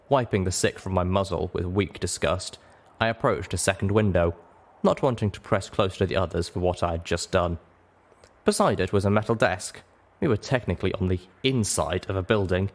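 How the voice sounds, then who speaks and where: A man reading a first-person adventure story aloud as a dramatic narrator, with expressive and deliberate phrasing. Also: low voice. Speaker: audiobook narrator.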